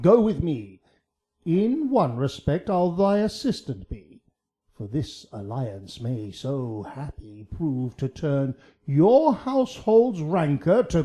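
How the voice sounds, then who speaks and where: A man playing an elderly, kindly, pious friar who gently scolds, declaiming in a measured way in a Shakespearean radio drama. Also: fairly high pitch, big pitch swings. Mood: resolved.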